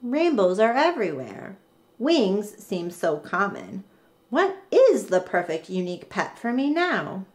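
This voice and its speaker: An eager, inventive little storybook girl, played by a woman reader. She is dramatic and determined, thinking aloud with emphatic exclamations. Her pitch jumps around freely, with drawn-out words. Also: sing-song, a little mellow. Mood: pondering.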